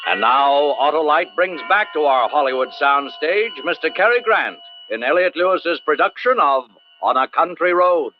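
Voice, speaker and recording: A man's voice: fairly high pitch, lively intonation, moderate pace, faint music behind. A man announcing a show in a formal, old-time radio style. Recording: dull vintage radio recording.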